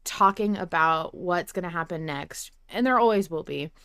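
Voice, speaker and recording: A woman with a fairly low voice hosts a podcast in a close, dry sound. She chats casually about fan matters and is effusive and appreciative. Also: animated, normal speed, a bit bright, clear, resonant tone, no echo. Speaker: podcast host.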